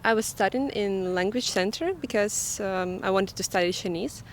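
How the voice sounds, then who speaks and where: A woman speaks with open enthusiasm in a street interview, pausing with "uh" to find her words. Faint traffic sits in the background outdoors. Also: mid-range voice. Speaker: former language student, interviewee.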